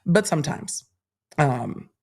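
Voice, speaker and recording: A woman's voice: deep voice, takes it slowly, gravelly. A woman hosting a livestream, chatting candidly and reading out viewer questions. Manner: chatty and candid, answering questions in an even, explaining way. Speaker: legal commentary livestream host.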